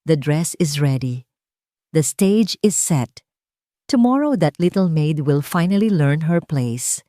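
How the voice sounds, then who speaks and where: A woman's voice: low voice, lively intonation, slow, warm tone, no room sound, studio quality. A woman voicing a cold, scheming young heiress in an audio story. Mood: smug, scheming. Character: scheming, vindictive rich young woman, haughty villain.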